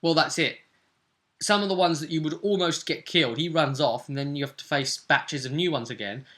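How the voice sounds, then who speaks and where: A man's voice: a little high, a little fast, fairly bright tone. A man recapping a tabletop game as its game master in casual chat, often checking for agreement with tag questions.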